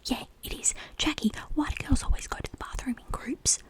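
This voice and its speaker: A woman's voice: high-pitched, fairly quick, lightly acted. A woman voicing cheeky, pestering teenage boys from a storybook, in a raised whisper. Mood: teasing, pestering.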